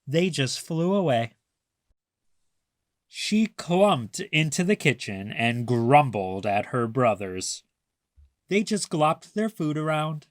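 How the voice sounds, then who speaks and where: Very slow, expressive children's storybook reader. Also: mid-range voice, no room sound, clean studio sound. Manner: deliberate, emphasizes words for young listeners. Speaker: children's read-aloud narrator. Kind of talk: expressive picture-book storytelling.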